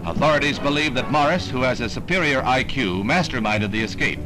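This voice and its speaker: A man's voice: mid-range voice, expressive, brisk. An American man reading scripted 1960s newsreel narration in a formal, dramatic announcer's delivery. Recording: vintage newsreel soundtrack with music. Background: newsreel music.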